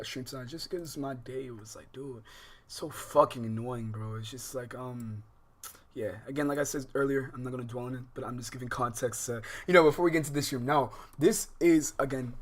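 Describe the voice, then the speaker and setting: An American man hosting a livestream, talking casually to viewers in a slangy, profane, rambling way full of asides. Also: mid-range voice. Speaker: livestream host.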